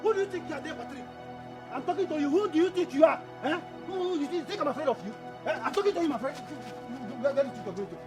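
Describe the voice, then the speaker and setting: An actor playing a hot-headed, defiant younger brother in a Nigerian film drama, with a Nigerian accent and insistent, stammering repetitions. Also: male voice, very high-pitched, expressive, quick pace, music in the background. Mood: angry, defiant. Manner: stammering, repeats words insistently.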